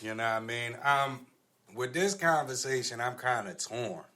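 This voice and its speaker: Bright-voiced man hosting. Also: medium pitch, lively intonation, normal speed. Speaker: podcast host. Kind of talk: casual panel discussion.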